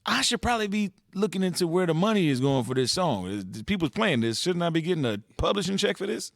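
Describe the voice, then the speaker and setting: A man's voice: high voice, big pitch swings, quick pace, no room sound, studio quality. A man co-hosting a casual podcast, joking and playful in the banter. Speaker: podcast co-host. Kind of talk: casual chat.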